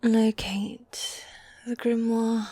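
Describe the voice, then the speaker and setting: A woman playing a teasing, needy yet dominant goth demon girlfriend in a fantasy ASMR roleplay, soft and breathy, often whispering, close to the mic. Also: fairly high pitch, flat delivery, drawn-out words, no room sound, heavily acted. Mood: weary, mildly exasperated. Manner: teasing, coy, affectionate, with sighs. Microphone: close, dry microphone.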